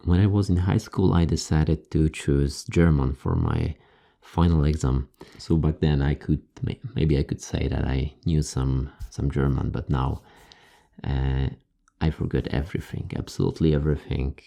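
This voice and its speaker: A man whose first language is Polish, speaking English with a Polish accent and answering viewer questions in a relaxed, hesitant way. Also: rumbling low, normal speed, creaky. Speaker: ASMR creator.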